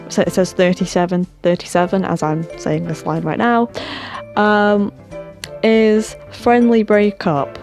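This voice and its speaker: Chatty woman with a lowish, worn-out voice and some self-deprecating asides. Soft game music plays under her. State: voice tiring, throat sore. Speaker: gaming channel host. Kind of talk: rambling casual commentary.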